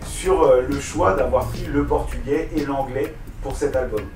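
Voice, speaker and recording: A man's voice: medium pitch, normal speed. Male interviewer, gushing and ornate; distant mic in a roomy space, faint music. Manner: effusive, flowery praise. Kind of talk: interview questions.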